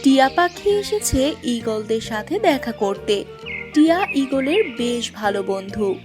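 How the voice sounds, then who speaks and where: A woman's voice: fairly high pitch, expressive, a little slow, music in the background. A woman narrating a scripted children's story as its storyteller.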